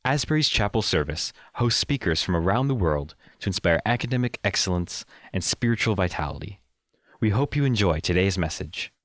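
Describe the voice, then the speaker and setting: A man reading a scripted podcast introduction in a smooth, formal announcer manner. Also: deep voice, expressive, a little fast, a bit bright, dry recording, clean studio sound.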